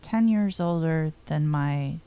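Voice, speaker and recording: A woman's voice: sits low, slow pace, dark tone, clear, resonant tone. A woman co-hosting a podcast in casual chat. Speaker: podcast co-host.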